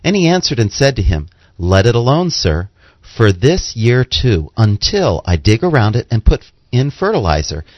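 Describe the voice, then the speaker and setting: A man co-hosting a religious radio show, reading scripted text in a deliberate, expressive way. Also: mid-range voice, dry recording. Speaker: radio co-host and scripture reader. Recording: dull lo-fi radio audio.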